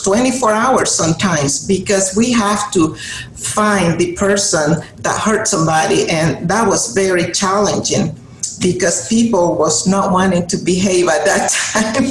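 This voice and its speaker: A middle-aged woman from Honduras, a native Spanish speaker with a Honduran Spanish accent, giving an earnest motivational speech to an audience, with emphatic repeated phrases. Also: medium pitch. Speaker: guest speaker. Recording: roomy hall sound with faint noise.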